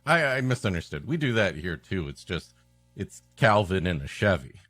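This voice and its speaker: A man's voice: sits low, very animated, moderate pace, fairly bright tone, no echo. A man co-hosting a comedy show, riffing casually with wry, mock-serious asides. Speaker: podcast co-host. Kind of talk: casual comic banter.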